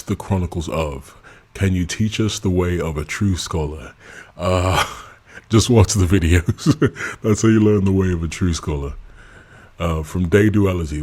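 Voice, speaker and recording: Husky low-voiced man. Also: a little mellow. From England. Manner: candid and blunt, laughs often. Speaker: YouTube channel host. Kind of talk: casual subscriber Q&A.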